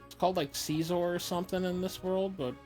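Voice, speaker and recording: A woman's voice: fairly low pitch, fairly even intonation, a little fast, darkish tone, faint music behind. A woman chatting casually as she plays a video game and comments on it. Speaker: game player. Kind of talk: casual gameplay chat.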